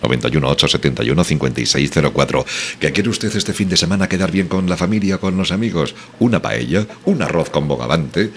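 A Spanish radio host giving an emphatic, salesy sponsor promotion with lots of exclamations. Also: male voice, very deep voice. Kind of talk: enthusiastic radio promo chat.

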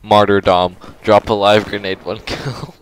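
A young man chatting casually and excitedly with friends while gaming, swearing freely. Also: on the low side, measured pace. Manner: excitable, laughs often, sweary. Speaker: gamer playing an online shooter with friends. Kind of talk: casual banter during gameplay.

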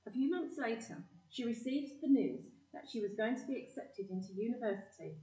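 Female narrator, acted by a woman, mellow, mid-range storytelling, community audio drama, distant roomy mic. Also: on the high side, animated, fairly quick, lightly acted. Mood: matter-of-fact. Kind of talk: scripted narration. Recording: echoey room, distant microphone.

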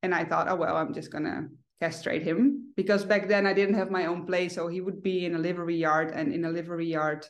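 A woman's voice: fairly low pitch, fairly even intonation, brisk, few pauses. A woman, chatting in a friendly, casual way as a guest on a video call from home. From Netherlands. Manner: friendly, chatty, with fillers and restarts. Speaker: guest, horse owner. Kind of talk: casual interview chat. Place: home room.

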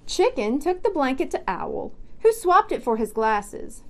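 A young woman reading a children's picture book aloud with expressive, deliberate storytelling delivery. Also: sits high. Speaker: library storyteller.

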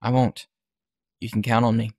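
Casting: man to play hesitant kind teenage boy, gravelly edge, dry close sound, podcast drama. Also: mid-range voice, little pitch movement, moderate pace, a little mellow, no echo, natural voice. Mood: agreeable. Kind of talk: audio drama acting.

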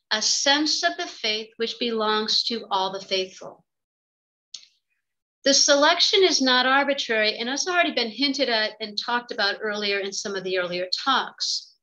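A middle-aged woman reading a prepared academic lecture in a measured, formal manner on a video call. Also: a little high, sharp tone, poor audio. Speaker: theology professor and religious sister giving a talk. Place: indoor office, on a video call. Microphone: computer microphone on a video call.

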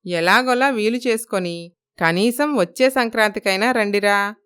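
Lady as older mom in family drama story, clear smooth voice, plaintive, missing her kids. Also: mid-range voice, moderate pace, fairly bright tone, no room sound, natural voice. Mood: longing. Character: wistful ageing mother-in-law, longing for her children.

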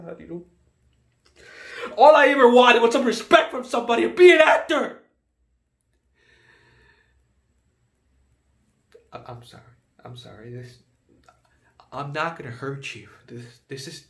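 A man performing a dramatic monologue as an unhinged failed actor, stammering and repeating himself, veering from whispers to shouts. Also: mid-range voice, lightly acted. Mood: menacing.

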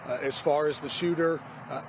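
A middle-aged man speaks as a fire department spokesman at an outdoor press briefing, stopping and restarting his words. His voice sits a little high for a man. Steady traffic and street noise run behind him. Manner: halting, repeats words when unsure. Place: outdoors by a parking lot. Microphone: news camera mic, close.

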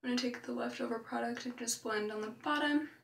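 A woman's voice: fairly high pitch, fast talker, gravelly, reverberant, distant mic, noisy background. A young woman chatting through a makeup tutorial, describing each step as she applies it, recorded in a small bedroom on the camera's built-in mic. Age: young adult. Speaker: makeup vlogger. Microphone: built-in camera mic at arm's length.

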